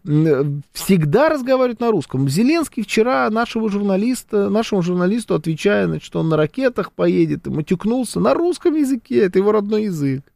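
A man's voice: high-pitched, a little fast, vocal fry, few pauses, dry recording. A man giving casual political commentary, reading out viewer comments with sarcasm and frequent hesitations. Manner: animated, sarcastic, talks with hesitations.

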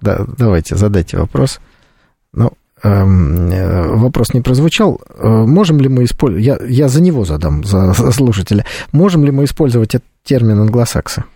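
A man with a low, mellow voice and a clear creak hosts a live radio interview. His phrasing is measured and a little hesitant, in a dry studio sound. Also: expressive, dry recording. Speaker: radio host. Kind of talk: live call-in interview hosting.

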